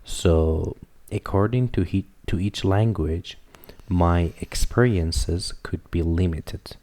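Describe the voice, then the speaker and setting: Deep, mellow-voiced man, native Farsi speaker. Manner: reflective, thinks aloud with many "uh" pauses. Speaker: podcast co-host. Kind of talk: casual podcast conversation.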